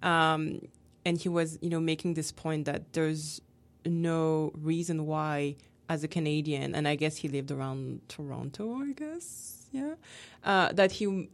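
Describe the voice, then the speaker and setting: A woman hosting a casual interview, chatty, with many fillers and restarts. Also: deep voice. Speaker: podcast host.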